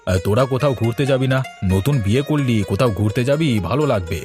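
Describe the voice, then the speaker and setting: A man's voice: mid-range voice, lively intonation, fairly quick, over music, close to an everyday voice. A man voicing a sensible, friendly older-brother neighbour in a cartoon, giving familiar advice. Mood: chatty. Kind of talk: Bengali cartoon voice acting.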